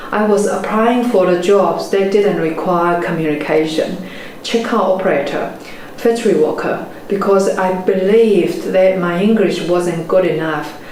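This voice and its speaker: Woman with a chinese accent, 40s, earnest slow-ish mentor talk, really echoey room, faint hiss behind. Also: mid-range voice, darkish tone. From China. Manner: measured, earnest storytelling delivery. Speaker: property investment mentor. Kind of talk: motivational webinar talk.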